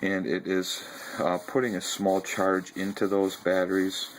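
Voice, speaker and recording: A man with a very low, level voice talks through his battery setup while moving from garage to basement. He pauses often, fills gaps with uhs, and corrects himself as he goes. The camera mic catches a faint hiss behind him. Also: completely flat delivery, low-quality recording. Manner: halting, frequent ums and uhs, self-correcting. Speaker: video blogger showing his ham radio setup. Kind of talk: casual walkthrough narration.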